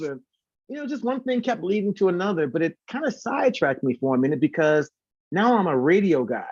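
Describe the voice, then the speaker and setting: A man's voice: on the high side, dry recording, dull audio. A man telling stories casually as an interview guest, animatedly acting out the dialogue he quotes. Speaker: singer and former radio host. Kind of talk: casual storytelling.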